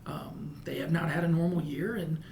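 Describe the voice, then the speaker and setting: Higher-pitched American man in an echoey room. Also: fairly even intonation, normal speed, gravelly, a crowd in the background. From United States. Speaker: high school principal. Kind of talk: conversational podcast interview. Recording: echoey room with faint background noise.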